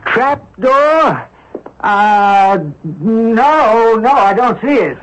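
A man's voice: very high voice, expressive, extremely slow, a bit bright, heavily acted. An actor playing a helpful, inquisitive male sleuth in a radio drama, speaking in an earnest, probing way. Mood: searching, puzzled. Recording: dull lo-fi 1970s radio broadcast.

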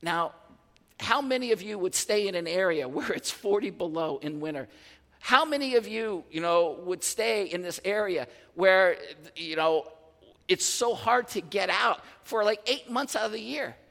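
Male pastor, 50s, animated conversational sermon; high voice, reverberant hall. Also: normal speed, gravelly. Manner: animated, conversational preaching with restarts and asides. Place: large church hall.

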